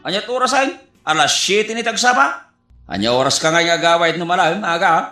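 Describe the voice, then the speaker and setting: A nosy, whiny Ilocano neighbour in radio comedy. His exaggerated voice is high and bright, with big swings in pitch. It echoes over faint music. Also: expressive, brisk. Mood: prying.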